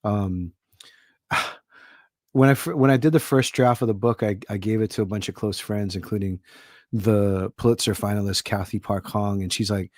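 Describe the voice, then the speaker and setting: A man's voice: fairly low pitch, fairly even intonation, moderate pace, a little mellow, no echo, clean studio sound. A middle-aged man from Hawaii, an author guesting on a podcast, talking in a thoughtful, rambling way with many fillers. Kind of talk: casual podcast conversation.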